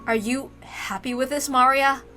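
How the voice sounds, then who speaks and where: Woman playing a lonely, bright-voiced young woman. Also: high-pitched, steady tone, moderate pace, faint music behind. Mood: challenging. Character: lonely, sombre young woman challenging a girl.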